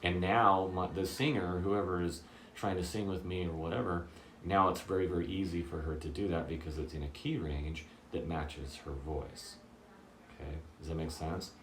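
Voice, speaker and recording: A man with a very low voice giving a casual guitar lesson, checking in often with "okay?" and "does that make sense?". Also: fairly even intonation, normal speed, fairly bright tone, roomy sound, a little hiss or hum. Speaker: guitar teacher.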